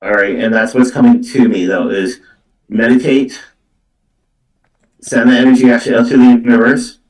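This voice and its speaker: Male psychic reader, halting and repetitive delivery, mid-pitched, distant in a reverberant room. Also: fairly even intonation, darkish tone. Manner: halting, repetitive, filler-heavy phrasing. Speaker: psychic medium giving card readings. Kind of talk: live spiritual reading for a caller. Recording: roomy, echoey, away from the mic.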